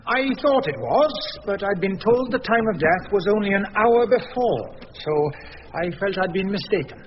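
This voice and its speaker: In a reverberant, dull radio courtroom, a police doctor. The voice is high and varied in pitch, with guarded, hesitant, formal delivery. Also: male voice, expressive, moderate pace, a little hiss or hum. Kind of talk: radio drama acting.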